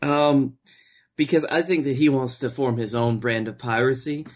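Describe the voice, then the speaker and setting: A man co-hosting a comedy podcast, riffing casually in a dry, measured way. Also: mid-range voice, steady tone.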